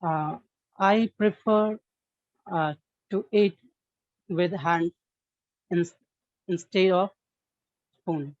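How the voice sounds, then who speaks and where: Slow, hesitant woman learning English, phone-like sound. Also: fairly low pitch, a little mellow, no echo. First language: not English. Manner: hesitant, halting sentence building. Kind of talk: speaking practice exercise. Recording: muffled, narrow phone-like call audio.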